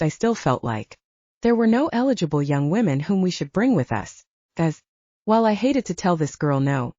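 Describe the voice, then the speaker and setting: A woman's voice: on the low side, dark tone, no room sound, lo-fi sound. A woman narrating first-person fiction from a script, as in an audiobook.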